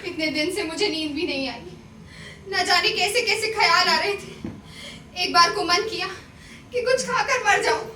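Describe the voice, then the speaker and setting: An actress playing a proud, volatile and wounded courtesan in a Hindi stage play, with theatrical, emotional delivery. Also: female voice, very high-pitched, unhurried, bright, forceful tone, slight background noise, full character voice. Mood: remorseful, pleading. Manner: theatrical, declamatory, emotional outbursts. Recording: roomy distant stage recording, faint music.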